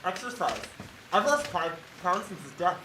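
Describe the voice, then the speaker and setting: A young woman acting a deadpan, evasive, dim-witted husband in a high school stage comedy, recorded on an echoey stage. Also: normal speed. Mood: deadpan evasion. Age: teen. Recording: echoey noisy stage, distant mic.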